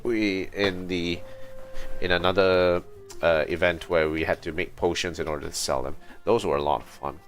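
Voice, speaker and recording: Male game streamer, very deep pitch, casual play-by-play and reading aloud, light music underneath. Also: fairly even intonation, moderate pace. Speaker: game livestreamer. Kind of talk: casual live gameplay commentary, reading on-screen text.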